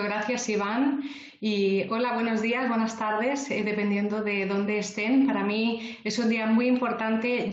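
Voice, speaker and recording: A woman from Spain presenting a research report in a webinar, explaining in an orderly way with a few fillers. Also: medium pitch. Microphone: video call. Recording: echoey room, dull video-call audio.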